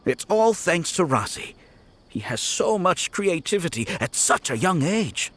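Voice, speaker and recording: A man's voice: sits high, sing-song, a little slow, full character voice. A man voicing a friendly young shopkeeper who talks politely and gratefully. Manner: polite, warm-hearted, grateful.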